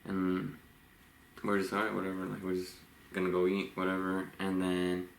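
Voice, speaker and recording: A young man with a very low, gravelly voice chats loosely through a couple's vlog, laughing and trailing off as he tells stories. The room is echoey, with a faint hiss under the voice. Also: moderate pace. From Los Angeles, USA. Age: 20s. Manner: relaxed, rambling, laughs often. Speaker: vlogger co-host. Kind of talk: casual couple Q&A chat.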